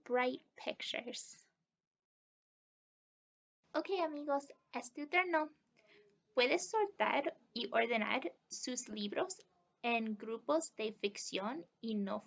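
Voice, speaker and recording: A young woman doing an expressive picture book read-aloud, addressing young children as a story time reader. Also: on the high side, takes it slowly, vocal fry. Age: young adult. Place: living room indoors.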